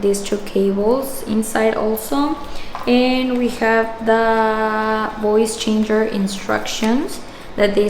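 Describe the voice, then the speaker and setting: Slow-talking young woman in an echoey room. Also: fairly high pitch, darkish tone. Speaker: product reviewer. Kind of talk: casual unboxing vlog. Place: small indoor room with shelves. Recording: echoey room with background noise.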